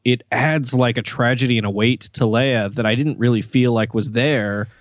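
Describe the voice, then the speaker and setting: A man talks casually in a podcast discussion. His voice is of average male pitch, and the recording is dry with no room sound. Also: fairly even intonation, normal speed, no echo. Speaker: podcast speaker.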